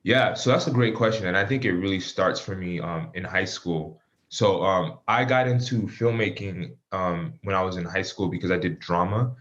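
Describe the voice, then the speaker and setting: A man's voice: sits low, normal speed, highs cut off. A man in his thirties from Miami, a guest answering casually with many fillers. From Miami, Florida. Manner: relaxed, many fillers like um and you know.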